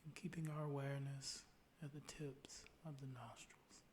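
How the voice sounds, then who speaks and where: A man leading a guided meditation in a calm, soothing, unhurried way, with soft, breathy phrase endings. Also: medium pitch, a little hiss or hum.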